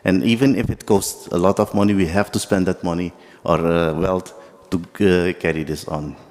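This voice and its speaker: A man from Suriname whose first language is Dutch, giving a halting ceremonial speech in English with many fillers. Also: low voice, steady tone, darkish tone. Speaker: guest speaker. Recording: long hall reverb.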